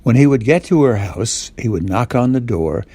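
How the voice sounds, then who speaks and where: A man's voice: mid-range voice, animated, moderate pace, warm tone, slightly rough, no echo. An older man tells a simple bedtime story informally, as if speaking to a young child. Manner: simple, repetitive, asks the child listener questions.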